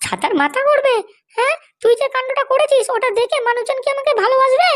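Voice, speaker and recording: A woman's voice: very high-pitched, quick pace. A woman voicing a hot-tempered, foul-mouthed wife who is running for election in a comedy cartoon, with a scolding, threatening manner. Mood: furious, threatening. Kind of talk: amateur comedy cartoon dialogue.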